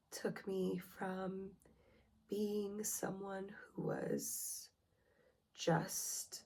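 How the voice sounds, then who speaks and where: A young woman getting over a cold, hoarse and breathy, talking through a personal self-help vlog with hesitant ums. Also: mid-range voice. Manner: slow, hesitant, with many ums and soft whispery breaths. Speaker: relationship vlogger.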